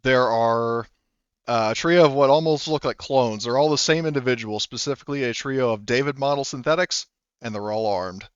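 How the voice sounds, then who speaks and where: A man working as game master in a tabletop role-playing game, casually narrating and thinking aloud haltingly through the rules. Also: mid-range voice, clean tone, no echo, highs cut off.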